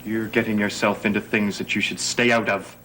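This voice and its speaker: A man's voice: lowish voice, level tone, fairly quick, close to an everyday voice, rough recording. An actor playing an anxious, evasive, defensive man in a 1960s television gothic soap. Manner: edgy, guarded.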